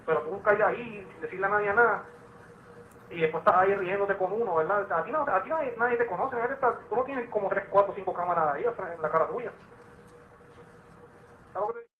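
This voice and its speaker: A man argues over a jail phone, scolding and insistent. His words pour out extremely fast. The line is narrow and tinny, with echo and some noise. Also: sits high. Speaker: jail inmate on a phone call. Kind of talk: tense private phone argument. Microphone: telephone.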